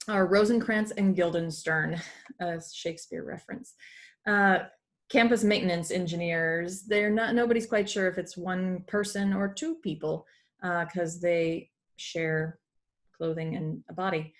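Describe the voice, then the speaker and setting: A woman's voice: on the low side, fairly even intonation, a little slow. A woman teaching through an educational read-aloud, explaining as she goes and slipping in informal asides. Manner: explanatory, acts out dialogue, breaks off for asides. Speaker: teacher reading a math comic aloud.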